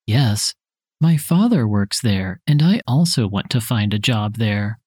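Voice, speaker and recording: A man reading his parts in a scripted dialogue for a language listening test, with careful, even delivery. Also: fairly low pitch, measured pace, slightly rough, no room sound, clean studio sound.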